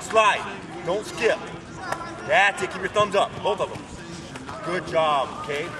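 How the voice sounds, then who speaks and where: A man runs football drills with short, encouraging cues. His voice is fairly high and reaches the mic from across the field. Crowd chatter hangs behind him. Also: a little slow. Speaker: football coach. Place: outdoor football field at a camp. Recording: distant outdoor voice with crowd.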